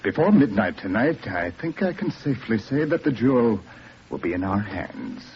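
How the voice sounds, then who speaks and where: An actor playing a smug, scheming villainous professor in 1940s radio style, measured and gloating. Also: male voice, on the low side, big pitch swings. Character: smug scheming criminal mastermind professor, middle-aged or older man. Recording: muffled noisy 1940s radio recording.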